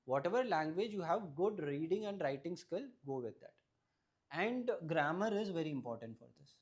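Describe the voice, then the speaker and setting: A man's voice: fairly high pitch, animated, normal speed. A young man whose first language is Malayalam, an exam topper explaining his study strategy in an interview and often checking in with "right?". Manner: advisory, often ends points with a questioning "right?". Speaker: exam topper giving advice.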